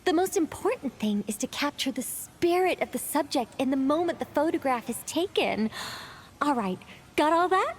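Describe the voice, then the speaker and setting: A woman's voice: sits high, very animated, moderate pace. A woman voicing a whimsical, self-important female mage in a video game, theatrical, musing and grandly commanding.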